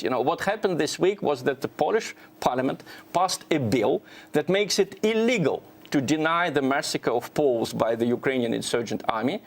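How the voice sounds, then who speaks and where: A man giving unscripted political analysis as a panel guest, explaining in a halting way with restarts. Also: a little high.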